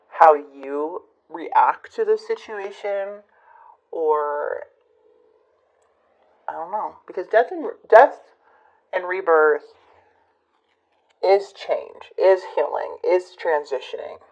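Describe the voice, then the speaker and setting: A woman giving a casual tarot reading to her viewers, musing and hesitant, her voice a bit hoarse from a recent illness. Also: fairly low pitch, slow pace, long pauses, muffled recording. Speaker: tarot reader.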